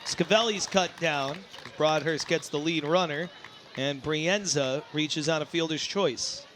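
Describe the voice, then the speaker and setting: A man calling a baseball game live as play-by-play announcer, excitable and given to shouting on big plays. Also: a little high, unhurried, slight background noise. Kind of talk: live sports commentary. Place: outdoor baseball ballpark.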